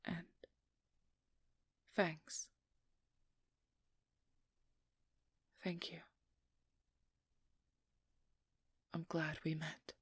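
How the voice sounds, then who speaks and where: A woman playing a determined, guarded and protective vampire hunter in an intimate ASMR role-play, hushed and often whispering, with halting, earnest delivery. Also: sits low, level tone, breathy edge, full character voice. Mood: tender, subdued. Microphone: close microphone in a dry room.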